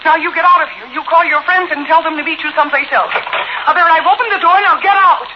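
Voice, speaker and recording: A woman's voice: very high voice, quick pace, highs cut off. An actress playing a frightened but defiant housewife and mother in a 1940s radio thriller, tense and pleading yet firm. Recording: noisy band-limited 1940s radio recording.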